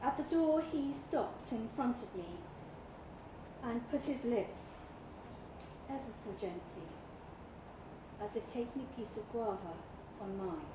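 A woman's voice: a little high, expressive, takes it slowly, pauses often. A woman reading a first-person literary short story aloud at a live event in a pub. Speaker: story reader. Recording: roomy live pub recording, clear background noise.

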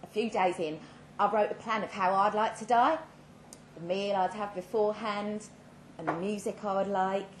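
A woman giving a measured, dramatic reading of a first-person short story at a live event, with pointed pauses. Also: medium pitch, steady tone. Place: indoor live literary event. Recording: roomy live venue, clear background noise.

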